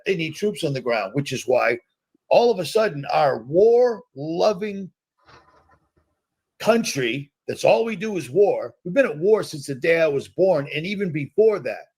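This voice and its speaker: A man's voice: fairly high pitch, breathy edge. A man in his fifties from the United States, hosting a live reaction stream and ranting in a conspiratorial, repetitive way. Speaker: host.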